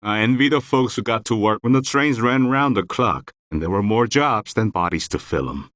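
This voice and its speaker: A man's voice: fairly low pitch, expressive, moderate pace, fairly bright tone, dry recording. A man playing a disillusioned mining-town local in a video game. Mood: resigned, envious. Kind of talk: video game NPC voice acting.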